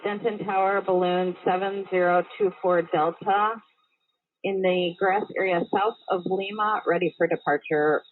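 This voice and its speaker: Tinny radio-call woman balloon pilot, lowish voice. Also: fairly even intonation, unhurried, no room sound. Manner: procedural, clipped callouts. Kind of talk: aviation radio phraseology. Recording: tinny, phone-like band-limited radio audio.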